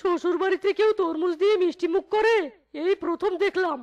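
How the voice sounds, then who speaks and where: A woman's voice: extremely high pitch, quick pace, a little mellow, muffled recording. A woman voicing a sly, hungry younger fox son-in-law in a comic Bengali cartoon, hesitant and complaining. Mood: reluctant. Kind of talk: Bengali animated comedy story dialogue.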